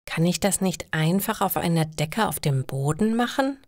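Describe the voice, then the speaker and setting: A woman's voice: lowish voice, lively intonation, moderate pace, darkish tone, no echo, clean studio sound. A woman voicing a vulnerable, doubtful pregnant teenage schoolgirl in a learner's story. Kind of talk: acted dialogue in a learner's story.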